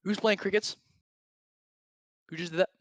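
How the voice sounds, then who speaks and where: A man's voice: sits high, fairly even intonation, moderate pace, no echo. A young man joining as guest commentator on a livestream, hammy and boastful.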